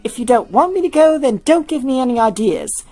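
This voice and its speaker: Tired, sarcastic young adventurer, caring underneath female reader playing her. Higher pitch, wry animated delivery, music behind. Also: brisk. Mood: teasing. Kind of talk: fan fiction reading.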